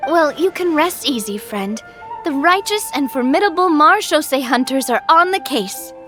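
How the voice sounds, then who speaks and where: A woman's voice: sits high, expressive, normal speed, a bit bright. A woman voicing game dialogue in a casual, friendly, curious way, over faint music. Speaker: voice actor.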